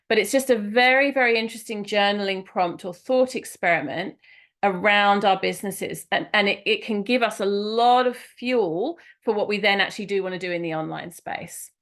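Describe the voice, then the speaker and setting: A woman's voice: medium pitch, fairly bright tone. A woman running a group session on a video call for her business program, talking casually and affirming each person's introduction.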